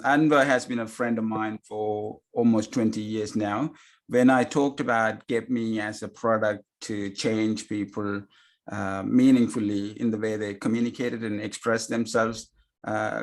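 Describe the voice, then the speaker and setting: A man's voice: lowish voice, fairly even intonation, unhurried. A man, a company founder, speaking earnestly to investors over a video call. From came as a refugee. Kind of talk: investor webinar remarks.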